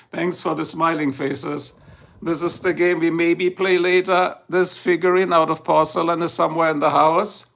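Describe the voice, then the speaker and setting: Gravelly German-accented man, chatty streamer. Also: a little high, flat delivery, a little slow, fairly bright tone. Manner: chatty, answers viewers one by one, chuckles often. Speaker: livestream host. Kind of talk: casual livestream chat reading comments.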